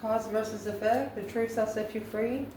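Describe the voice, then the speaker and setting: A woman giving an improvised spiritual tarot reading to viewers, musing in a halting way and posing questions to herself. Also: a little high, steady tone, dark tone. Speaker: tarot card reader, channel host. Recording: echoey room, distant mic, faint noise.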